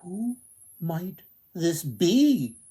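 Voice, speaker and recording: A man's voice: high-pitched, big pitch swings, drawn-out words, mellow, slightly rough, voice pushed up, full character voice. A man voicing a passionate prince in a storybook tale, dramatic and emphatic. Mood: wondering.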